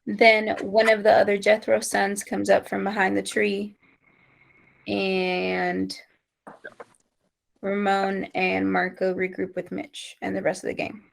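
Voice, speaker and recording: A woman's voice: medium pitch, monotone, takes it slowly, gravelly. A woman reading scripted stage directions aloud as narrator at an amateur table read.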